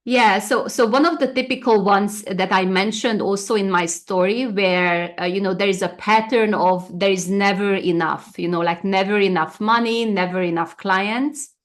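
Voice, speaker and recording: A woman's voice: mid-range voice, normal speed, hardly any pauses. A woman whose first language is Russian, a coach giving an interview and talking in a casual, hesitant way with many fillers and restarts. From former Soviet Union, Hungarian region. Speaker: interview guest, energy coach.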